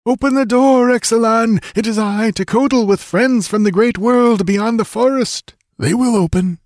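A man voicing a frightened male guide who pleads and shouts in a shrill, panicky voice. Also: expressive, normal speed, no room sound, clean studio sound. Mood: urgent.

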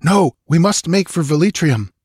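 A man reading a story and voicing a resolute young frontiersman giving hurried, firm warnings. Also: a little high, expressive, darkish tone, breathy edge, dry recording, studio quality, voice pushed up. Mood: urgent.

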